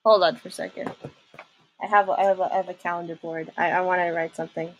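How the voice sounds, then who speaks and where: A young adult woman giving casual reaction commentary as a video host, rambling informally and excitably. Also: lowish voice, quick pace, a little hiss or hum, low-quality recording. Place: small room at home.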